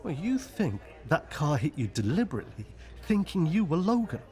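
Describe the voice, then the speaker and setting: An actor playing an easygoing, level-headed, wry friend in a radio soap. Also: male voice, a little high, sing-song, moderate pace, dark tone. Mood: wry, reassuring. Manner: reasoning, wry.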